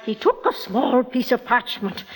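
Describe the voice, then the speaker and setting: A woman's voice: fairly high pitch, very animated, measured pace. An actress playing a desperate, deferential mother accused of witchcraft in a 1940s radio drama. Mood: earnest pleading. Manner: deferential, earnest. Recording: reverberant old radio drama recording.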